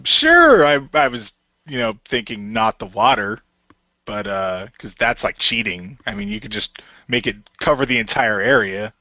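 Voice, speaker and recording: A man acts out a brash shapeshifting genie hero for an audio roleplay. The voice is bright and forceful, a little low, and very dry. He is playful and taunting, always ready with a wisecrack. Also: sing-song, normal speed, dry recording, highs cut off. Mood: bantering. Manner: taunting, jokey.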